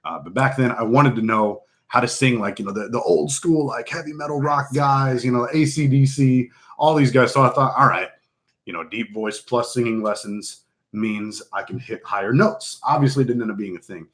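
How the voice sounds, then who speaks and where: A man with a deep voice, casually hosting a fantasy football draft stream, joking and rambling with lots of fillers. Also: moderate pace, a bit bright. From Texas. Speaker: fantasy football league host. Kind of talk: casual live stream chat.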